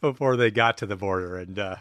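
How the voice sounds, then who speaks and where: A man with a fairly low voice chats as a podcast host, telling stories in a measured, amused way. The recording is dry, with little room sound. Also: a bit bright, dry recording. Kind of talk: casual chat and anecdotes.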